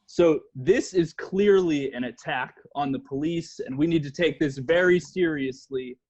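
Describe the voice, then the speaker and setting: Guy hosting a comedy podcast, kinda raspy, jokey mock-serious, sound a bit muffled. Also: fairly high pitch, unhurried, a little mellow. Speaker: podcast host. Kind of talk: casual comedic podcast chat.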